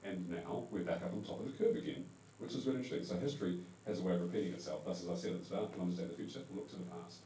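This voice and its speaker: Deep-voiced New Zealand man in an echoey hall. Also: racing, dark tone. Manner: conversational, self-correcting with fillers. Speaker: conference panel speaker. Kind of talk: presentation talk to a live audience. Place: event hall. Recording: echoey hall, distant mic, faint hum.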